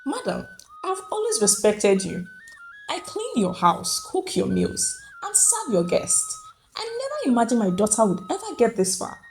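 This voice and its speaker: A woman voicing a humble housemaid mother in a folktale, respectful and earnest, with quiet resolve. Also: extremely high pitch, huge pitch swings, normal speed, music in the background, pitched above the performer's own voice, heavily acted, low-quality recording. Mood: earnest, pleading. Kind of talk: dramatic folktale storytelling.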